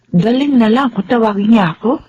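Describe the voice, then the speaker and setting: A woman's voice: on the high side, animated, brisk, a little hiss or hum, lightly acted. Daling, a woman playing a weary middle-aged housemaid. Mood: worried. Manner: gentle, motherly and resigned. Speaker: radio drama actor. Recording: dull lo-fi radio recording.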